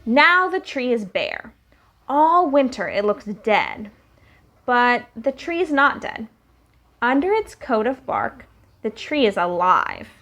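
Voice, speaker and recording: A young woman reading a picture book aloud to children in a slow, sing-song storytelling way. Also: on the high side, big pitch swings, fairly bright tone.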